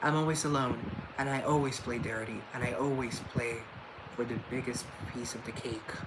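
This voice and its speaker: A man doing an impression of a gloomy, deadpan goth girl, speaking flat and quiet. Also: medium pitch, normal speed, heavy background noise, lightly acted. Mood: brooding.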